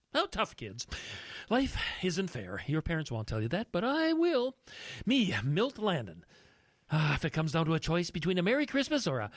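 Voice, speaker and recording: An actor playing a greedy, callous travel agent in an audio drama, with a smug, theatrical manner. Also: male voice, a little high, no room sound, studio quality, heavily acted. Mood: scheming, smug. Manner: theatrical, muttering schemes to himself.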